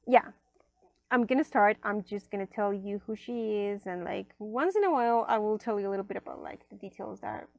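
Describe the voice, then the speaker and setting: A woman whose first language is Spanish, casually reviewing an oracle deck at a table, chatty, with many fillers and soft near-whispered asides. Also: fairly high pitch, creaky, no echo. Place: indoors at a table.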